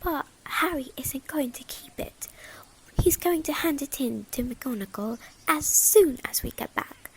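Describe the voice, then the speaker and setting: Woman playing an earnest, high-voiced schoolgirl. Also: animated, measured pace, low-quality recording. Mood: worried. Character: earnest, anxious, bossy schoolgirl. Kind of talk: amateur audio drama acting. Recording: faint background noise.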